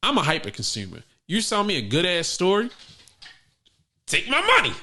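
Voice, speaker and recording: A man co-hosting a casual sneaker podcast, talking in a profane, animated way that jumps up loud when he jokes. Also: sits high, normal speed. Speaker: podcast co-host. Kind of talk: casual chat.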